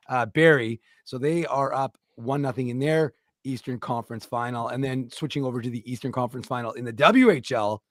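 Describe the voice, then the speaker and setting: A man's voice: medium pitch, no echo, studio quality. A man co-hosting a casual hockey talk show, rambling with lots of restarts. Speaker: podcast co-host.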